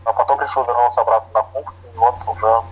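A middle-aged man from Latvia, a chef, answering interview questions in an unhurried, plain way with soft hedging fillers. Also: mid-range voice, flat delivery, a little hiss or hum, poor audio.

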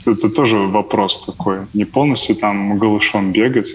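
A man's voice: lowish voice, steady tone, moderate pace. A young man chatting casually as a panel guest, hesitant and thinking aloud as he answers. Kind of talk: casual chat, answering questions. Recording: muffled, noticeably noisy sound.

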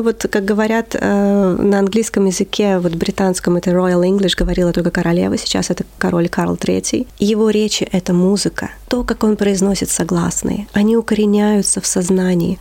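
A woman's voice: mid-range voice, darkish tone. A woman, an English teacher who runs a children's theater, talking expressively as an interview guest and sometimes dropping to a whisper for emphasis.

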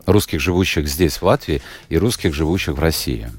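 A man's voice: a bass voice, brisk, faint music behind. A male radio host interviewing a guest, reading out a listener's message and pressing with follow-up questions. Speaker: radio talk show host.

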